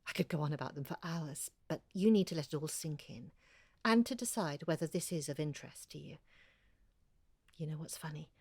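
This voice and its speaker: A woman's voice: low-pitched, animated, brisk, a little mellow, slightly rough, dry recording, heavily acted. A woman playing an earnest, chatty amateur researcher in an audio drama, confiding and effusive as she talks. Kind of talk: conversational audio drama monologue.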